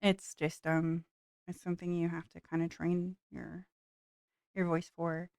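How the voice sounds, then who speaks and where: A woman's voice: fairly low pitch, level tone, unhurried, a little mellow, clear, resonant tone, dry recording, clean studio sound. A woman vlogger chatting casually while building miniatures, her voice tiring from long talking, meandering with lots of fillers. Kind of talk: rambling casual chat while building models.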